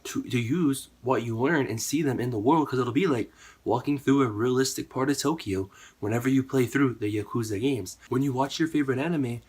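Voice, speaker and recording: Guy vlogging from home, sick and kinda hoarse, husky, rambles a lot, middle pitch. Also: fairly quick, darkish tone. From United States. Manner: rambling, conversational, with many fillers. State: sick, voice partly gone. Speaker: YouTuber. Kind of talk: casual to-camera vlog.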